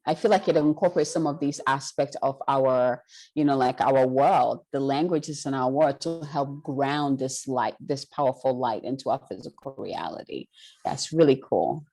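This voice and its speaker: Low-voiced woman from Ghana, earnest. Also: moderate pace, warm tone, poor audio. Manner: earnest, affirming, emphatic. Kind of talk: spiritual conversation.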